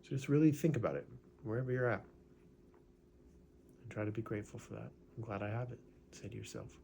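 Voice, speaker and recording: A man leading a guided gratitude meditation in a relaxed, conversational way, often adding "right?" and small fillers. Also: on the low side, brisk, creaky, slight background noise.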